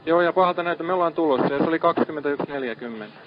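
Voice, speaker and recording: A man working as a ship's radio operator, calm and businesslike, repeating figures back in radio procedure. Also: fairly high pitch, a crowd in the background. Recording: muffled archive marine radio.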